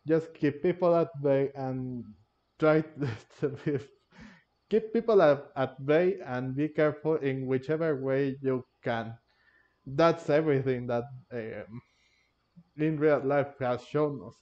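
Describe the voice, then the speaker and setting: Mellow man, dull lo-fi mic. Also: medium pitch. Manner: halting, repeats and rephrases himself. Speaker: gamer commentator. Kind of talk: casual gameplay commentary. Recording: dull, lo-fi microphone.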